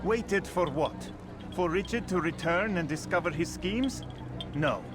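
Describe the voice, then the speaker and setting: A shrewd, supportive informant in a game drama. His fairly high voice is measured and emphatic, set over very loud music. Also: expressive. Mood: assured. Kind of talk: dramatic video game acting.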